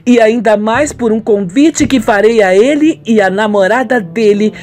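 A woman playing a headstrong, scheming young daughter in an amateur Brazilian radio soap, with emphatic, drawn-out phrasing. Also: on the high side, a little mellow, natural voice. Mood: determined.

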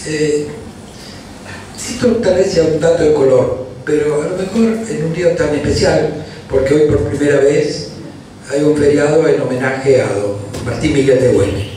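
Argentine guy, slightly high voice, telling a story on a panel, echoey hall. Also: moderate pace, dark tone, far from the mic, a little hiss or hum. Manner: storytelling, anecdotal. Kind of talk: panel discussion. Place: university hall, panel table. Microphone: handheld mic, far camera. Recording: roomy hall from afar.

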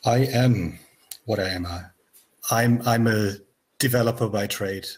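An older man from Germany with a German accent, a software developer talking on a video call with many fillers and restarts. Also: lowish voice, a little slow, breathy edge. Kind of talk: casual video call chat. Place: small room at home. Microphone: headset on a video call.

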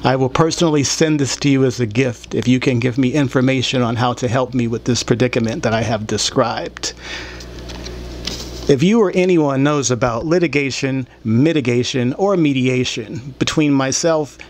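Man, thirties, medium pitch, blunt aggrieved monologue, profane, online show host, small room, some background noise. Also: moderate pace. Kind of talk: rambling opinionated monologue to camera. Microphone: desktop microphone, later held in hand.

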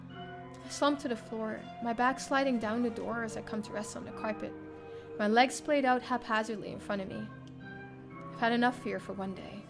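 High-voiced young woman reading dramatically, music behind. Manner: expressive, acted-out reading. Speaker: streamer reading a visual novel aloud. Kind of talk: dramatic first-person narration. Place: indoor room, livestream setup.

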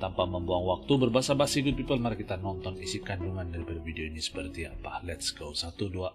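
A man in his thirties speaks with a Malaysian Malay accent. He hosts a casual reaction video into a studio mic in a small home studio, his fairly low voice earnest and emphatic. Loud music plays behind him. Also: quick pace. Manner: earnest, says "guys" constantly, emphatic. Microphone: studio mic on arm, wearing headphones. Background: music from the video being reacted to.

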